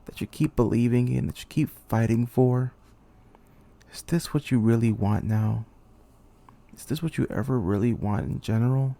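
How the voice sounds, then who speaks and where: Brooding young commander, dark gravelly male voice. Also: medium pitch, normal speed. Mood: bitter, disillusioned. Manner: brooding, intense, swearing. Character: weary, bitter young male student commander trapped in a killing game. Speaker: aspiring voice actor. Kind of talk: amateur dramatic monologue, character acting.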